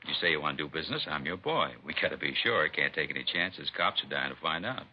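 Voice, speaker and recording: Bossy, low-voiced crook. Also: male voice, animated, quick pace, slight background noise. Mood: wary, probing. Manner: bossy, clipped, tough. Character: big, bossy, wary male narcotics dealer. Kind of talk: 1950s radio crime drama acting. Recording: dull old radio recording, music behind.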